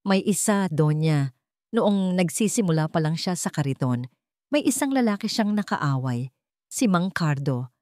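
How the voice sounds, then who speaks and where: A hardened henchman acted by a woman narrator reports in a low, matter-of-fact voice. He is obedient and deferential, a crook's spy in an audio drama story, recorded dry. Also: expressive, a little slow, darkish tone, no echo. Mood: reporting, deferential. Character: scar-faced male henchman, clipped and obedient.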